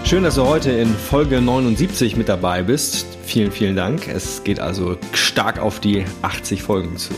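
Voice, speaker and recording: A man hosting a self-help podcast, speaking calmly and thoughtfully with frequent fillers while he has a cold and coughs now and then. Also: on the low side, normal speed, hardly any pauses, music in the background. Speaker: podcast host.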